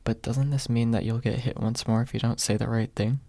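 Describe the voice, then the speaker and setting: A man voicing a gentle, candid young woman in a soft-spoken fantasy audiobook. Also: mid-range voice, fairly even intonation, brisk, gravelly, no room sound. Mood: concerned.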